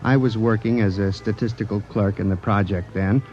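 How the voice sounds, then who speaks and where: A man's voice: on the low side, fairly even intonation, moderate pace, a little mellow, lightly acted. A man acting an earnest, anxious statistical clerk who narrates and plays out a 1950s radio drama. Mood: grave, reflective. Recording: muffled vintage radio recording with music behind.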